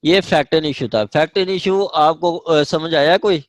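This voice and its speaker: Man, Pakistani accent, slightly high voice, dry sound, online law lecture, repeats key points. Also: moderate pace, no echo. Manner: repeats and rephrases key points, checks understanding. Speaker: law teacher. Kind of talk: online lecture, explaining legal definitions. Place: online class over screen share.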